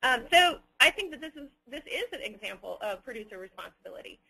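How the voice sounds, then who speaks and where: A man answering audience questions as a webinar presenter, unscripted, hesitant and halting as he picks his words with care. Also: very high voice, sing-song, gravelly, bright, forceful tone, husky, rough recording.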